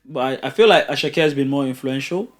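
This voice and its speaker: A man, co-hosting a casual music podcast, playful and quick to laugh. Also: medium pitch. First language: not English. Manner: playful, laughs often, puts on voices for jokes. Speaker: podcast co-host. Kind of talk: casual chat.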